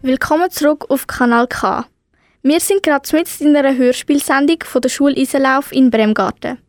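A woman's voice: high-pitched, brisk, soft background music. A teenage girl presenting a radio programme from a script.

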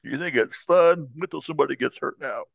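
A man's voice: fairly high pitch, brisk, gravelly, no room sound. A man co-hosting a podcast with casual, joking banter, riffing playfully as he guesses. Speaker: podcast co-host. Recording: slightly dull, lo-fi sound.

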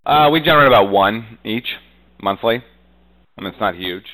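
A man's voice: on the low side, expressive, normal speed, pushed and bright, low-quality recording. A man giving casual business interview answers as a real estate agent, conversational and peppering his talk with "you know".

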